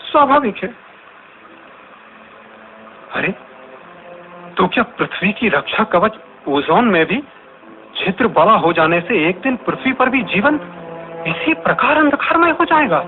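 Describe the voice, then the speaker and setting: A man's voice: high voice, sing-song, a little slow, pauses often, faint music behind. A man acting an ordinary, alarmed man thinking aloud in theatrical exclamations and questions in a radio drama. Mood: troubled wonder. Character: alarmed ordinary man musing aloud about a sunless morning. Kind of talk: Hindi radio drama soliloquy. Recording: tinny, narrow-band radio drama recording.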